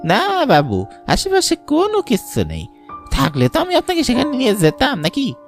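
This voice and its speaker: Swooping, talkative village porter. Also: female voice, sits high, huge pitch swings, normal speed, exaggerated voice. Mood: persuasive. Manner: chatty, rustic folksy speech. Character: talkative rustic village station porter, deferential. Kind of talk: cartoon dialogue. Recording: background music, night insects.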